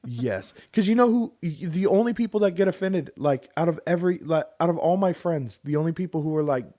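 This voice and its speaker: A man's voice: fairly high pitch, a little fast. Rambling man in his thirties. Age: 30s. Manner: rambling, animated, acts out quoted people. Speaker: podcast co-host. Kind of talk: casual chat, storytelling.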